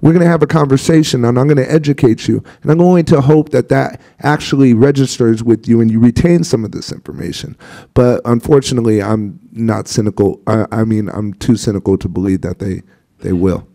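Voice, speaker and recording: A man's voice: mid-range voice, fairly even intonation, a little fast, gravelly, warm tone. A man speaking on a university panel in a reflective, hesitant way, with frequent fillers and restarts. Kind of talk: panel discussion.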